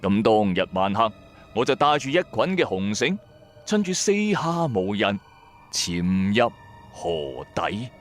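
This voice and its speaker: A man's voice: fairly low pitch, sing-song, slow pace, faint music behind. A man narrating a horror story in the first person, in a colloquial, suspenseful way.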